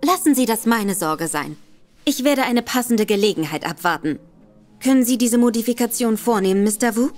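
A woman's voice: fairly high pitch, expressive, normal speed, a little mellow, faint music behind, close to an everyday voice. An actress playing a sly, polite young woman with a coy manner in an audio drama. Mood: cool, assured. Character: coy, sly young woman feigning innocent servant-girl respectability.

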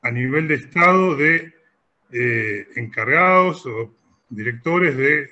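A middle-aged man speaking off the cuff on an online panel in halting, broken-up sentences. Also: mid-range voice, expressive, bright, forceful tone, muffled recording. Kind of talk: unscripted panel talk. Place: indoor room, video call.

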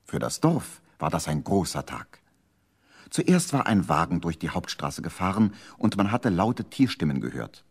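An actor narrating a children's radio play as the storybook teller of a village tale. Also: male voice, low-pitched, sing-song, normal speed, slightly rough, full character voice. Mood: lively storytelling.

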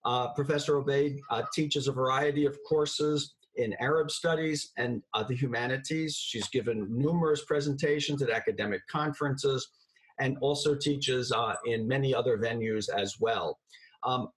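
A man in his sixties conducting a formal interview over a video call, with a halting, hesitant delivery. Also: medium pitch, a bit bright, rough recording. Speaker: interviewer, host. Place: indoor room, video call.

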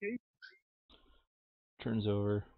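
A man's voice: fairly low pitch, big pitch swings, slow, gravelly, no room sound. A man chatting casually and jokingly as he plays a tabletop game with a friend. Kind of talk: casual gameplay chat. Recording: slightly dull, dry close recording.

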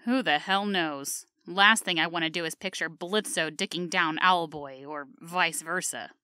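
A snarky female hellhound played by a woman drawls slowly in a bright, hard-edged voice. She is sullen, deadpan and scornful, in a close, dry audio drama recording. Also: fairly low pitch, clear, resonant tone, no echo. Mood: disgusted.

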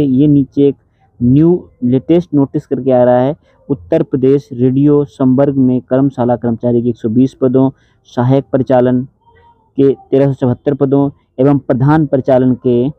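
A man's voice: medium pitch, no echo. A man hosting an exam-prep update, explaining an official notice informally and addressing his viewers directly. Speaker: exam-prep channel host. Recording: dull phone-like sound, dry.

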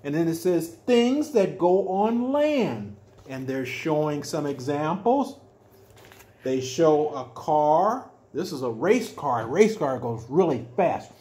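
An older man reading a children's picture book aloud as a storyteller, adding simple explanations with drawn-out emphatic words. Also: a little high, animated, dark tone. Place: small furnished room at home, indoors.

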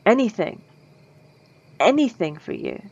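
A woman's voice: mid-range voice, very animated, a little slow, darkish tone, husky, highs cut off, exaggerated voice. A woman voicing a polite, lovestruck female vampire in an ASMR roleplay, speaking formally and old-fashioned with nervous stammers. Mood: pleading.

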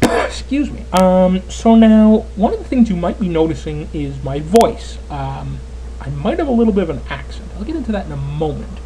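A man's voice: high-pitched, very animated, normal speed, warm tone, a little hiss or hum, low-quality recording. A Canadian man in his twenties giving casual, rambling gameplay commentary as a let's player. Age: 20s. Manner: chatty, rambling, lots of fillers.

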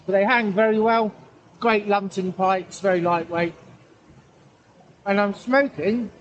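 A man's voice: high-pitched, fairly even intonation, unhurried, highs cut off. A middle-aged man chatting casually for an outdoor vlog, rambling hesitantly and correcting himself as he goes. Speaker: pipe-smoking vlogger. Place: outdoors in a wooded valley. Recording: outdoor ambient noise, camera at a distance.

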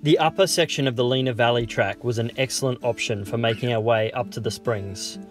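A man, narrating a bushwalk vlog in a calm, steady voice-over. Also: mid-range voice, a little fast. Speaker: vlogger narrating a hike. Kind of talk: scripted travel narration. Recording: voice-over with guitar music behind.

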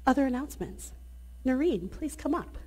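A woman's voice: high-pitched, animated, moderate pace, dark tone, husky. Woman pastor at a church lectern. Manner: friendly, inviting, with many restarts. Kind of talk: church announcements and benediction. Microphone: lectern microphone.

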